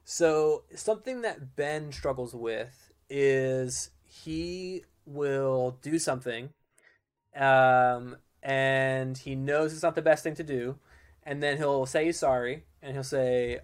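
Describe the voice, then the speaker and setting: A man's voice: medium pitch, slow pace, clean tone. A man in his twenties co-hosting a casual podcast conversation, talking in an easygoing way with many fillers and restarts. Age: 20s.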